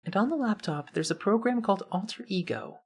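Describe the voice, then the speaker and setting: A woman's voice: mid-range voice, lively intonation, fairly quick, a little mellow, far from the mic, lightly acted. Woman voicing a hesitant teenage boy. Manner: hesitant, stammering. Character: mild-mannered teenage boy narrator. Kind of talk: fan dub of an anime game.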